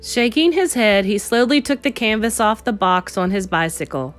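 A woman reads a children's picture book aloud in the manner of a teacher. Her narration is expressive, at a medium pitch, with music playing behind it. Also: moderate pace.

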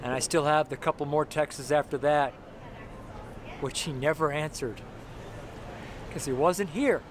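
A man's voice: on the high side, measured pace. A middle-aged man preaching an informal sermon to the camera on a city sidewalk, rambling and repeating himself with many fillers and restarts. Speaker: street preacher. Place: outdoors on a city sidewalk by a brick wall. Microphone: camera close up, handheld. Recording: noisy outdoor street recording. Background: street traffic noise.

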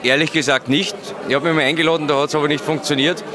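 Austrian male interviewee, forties, quick delivery, casual, crowd hubbub in the background. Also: fairly high pitch, fairly even intonation. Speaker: interviewee, friend of the author. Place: large busy indoor foyer. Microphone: handheld interview microphone. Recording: busy crowd chatter behind.

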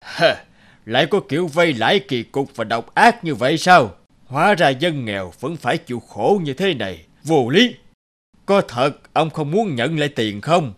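A man voicing a stern, just mandarin in an animated folk tale, speaking with authority. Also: a little high, moderate pace. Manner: authoritative, commanding. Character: stern, just male mandarin official judging villagers.

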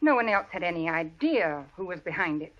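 A woman's voice: fairly low pitch, very animated, a little fast. Guarded, proper widowed mother, muffled sound. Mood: dread. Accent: American. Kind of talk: 1940s-50s radio western acting. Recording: dull vintage radio recording.

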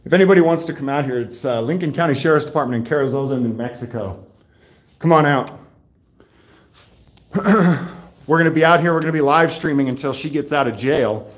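A man livestreaming from a sheriff's office lobby, talking loudly and casually and rambling with many fillers and restarts. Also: on the high side, a little fast, pauses often. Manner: loud voice, by his own account. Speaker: livestreaming channel host.

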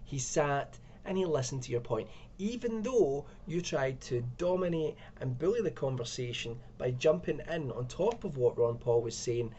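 A man's voice: fairly high pitch, warm tone, dull audio, slight background noise. A young man giving an exasperated, sarcastic commentary from a room at home, speaking into a webcam. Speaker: video commentator. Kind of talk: ranting video commentary.

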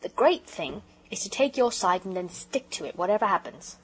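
A woman's voice: mid-range voice, very animated, normal speed, slightly rough, poor audio. A woman voicing a proud, sulky working-class English railway porter in a storybook, with colloquial speech and dropped aitches. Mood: opinionated.

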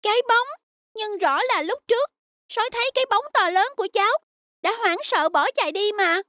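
A woman voicing a small, naive young donkey with a childlike, earnest manner in an animated fairy tale. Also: very high-pitched, a little fast, no echo. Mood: puzzled.

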